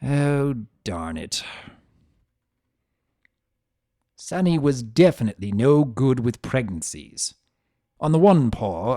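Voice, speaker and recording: A man voicing a grumpy, possessive, secretly tender chaos creature who narrates his own story in a sardonic, expressive dramatic reading. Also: medium pitch, dry recording, studio quality, lightly acted. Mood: annoyed. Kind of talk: first-person dramatic reading of fan fiction.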